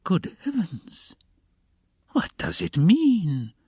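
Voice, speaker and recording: A man's voice: mid-range voice, big pitch swings, takes it slowly, a little mellow, no room sound, studio quality, voice pushed up. A man voicing a wily middle-aged investigator in an audiobook, fussily solicitous and slyly mocking, with theatrical, coaxing exclamations. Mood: alarmed, fussing.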